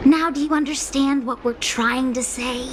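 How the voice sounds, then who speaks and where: A woman's voice: high voice, fairly even intonation, moderate pace, background noise, close to an everyday voice. A woman voicing an earnest, world-weary but sympathetic young working woman in a video game, speaking in a coaxing, rhetorical way. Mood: persuasive.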